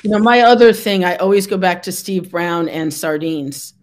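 A middle-aged woman hosting a video call interview from home, friendly with quick affirmations and opinions. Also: lowish voice, darkish tone. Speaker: host. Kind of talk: casual interview chat. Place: home room with brick wall.

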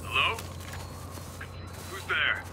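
An actor playing an armed soldier guard in clipped military radio talk. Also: male voice, on the high side, sing-song, extremely slow, background noise, full character voice.

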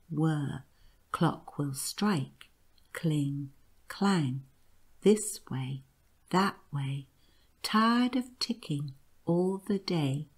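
An odd old man from a storybook, acted out by a woman, droll and cranky. The voice sits low and moves slowly, with a measured, peculiar delivery. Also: slightly rough, heavily acted. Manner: grating, monotonous chanting when reciting.

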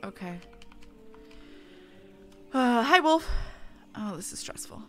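A woman's voice: on the high side, big pitch swings, takes it slowly, faint music behind. A woman streamer chatting casually and muttering to herself as she plays a game. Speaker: streamer playing an online fantasy game. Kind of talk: casual live gaming commentary.

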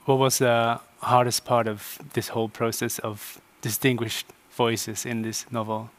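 A man's voice: medium pitch, little pitch movement, unhurried, a bit bright. Guy interviewing an author on a stage set, middle voice, easygoing, hedges his questions. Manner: casual, hedging questions. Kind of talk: festival interview.

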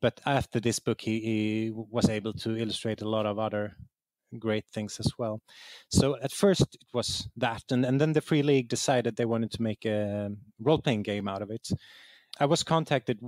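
A man from southern Sweden, a native Swedish speaker with a southern Swedish accent, talking casually and haltingly as an interview guest. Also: on the low side, a little mellow, dry recording. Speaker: interview guest, game writer.

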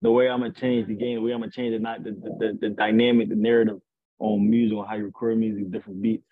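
A young man, a rapper, talking casually and boastfully in an interview over a video call, with a deep raspy voice. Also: little pitch movement, fast talker. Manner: laid-back, boastful, rambling. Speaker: rapper guest. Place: indoors at home. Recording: tinny video call audio.

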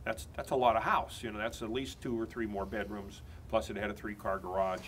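An older man in his sixties giving a confident real estate listing pitch in an office conference room. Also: level tone, a little fast, a bit bright, slight background noise. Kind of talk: mock listing presentation.

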